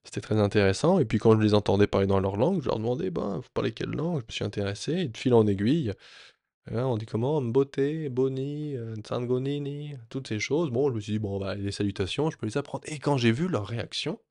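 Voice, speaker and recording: A man sharing a personal story in a reflective, earnest way, often pausing and restarting his sentences. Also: medium pitch, mellow, no room sound, studio quality. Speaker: vlogger.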